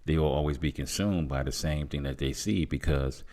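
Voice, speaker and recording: A man's voice: rumbling low, normal speed, warm tone. A man in his fifties hosting a podcast, plainspoken and affirming, chatting casually with his guest. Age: 50s. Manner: affirming, frequent backchannel agreement, plainspoken. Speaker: podcast host. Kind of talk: casual chat.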